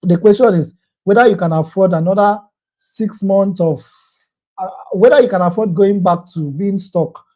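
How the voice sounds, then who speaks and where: Earnest man with a high, mellow voice. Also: normal speed. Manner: earnest, persuasive, repeats phrases, ends points with "okay?". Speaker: online course instructor. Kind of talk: sales pitch answering common questions.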